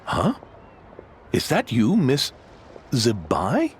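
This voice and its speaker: A man's voice: mid-range voice, extremely slow, slight background noise, heavily acted. A man voicing a hearty, devout and generous old grandfather innkeeper in a video game, with an effusive manner. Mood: hearty, welcoming. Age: older. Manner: animated, effusive. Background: music.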